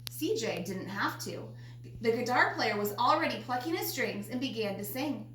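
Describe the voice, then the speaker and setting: A woman's voice: a little high, lively intonation, moderate pace, fairly bright tone. A woman reading a children's picture book aloud as narrator. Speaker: storybook reader. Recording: roomy echo, faint background noise.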